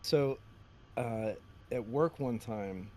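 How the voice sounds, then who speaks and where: A man co-hosting a podcast with a deep voice, telling rambling stories and laughing often in casual chat. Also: very slow, mellow, slight background noise. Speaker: podcast co-host.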